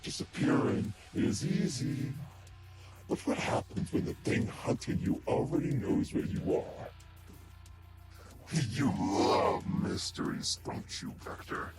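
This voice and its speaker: A menacing monster villain from a game, speaks in a breathy, whispered rasp. The delivery is slow and mocking, with theatrical swings in pitch. Loud music plays behind the voice. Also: male voice, very animated. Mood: taunting threat. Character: sinister taunting male monster villain, menacing, patient and mocking. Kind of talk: scripted villain voice reel, game character monologue. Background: prominent music.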